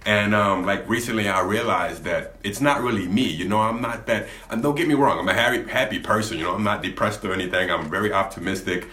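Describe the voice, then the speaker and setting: A young man with a deep voice, talking candidly to camera in a laid-back, rambling way, often restarting his sentences. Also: fairly quick, few pauses, pushed and bright. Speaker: gaming YouTuber. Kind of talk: candid vlog talk to camera. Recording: echoey room.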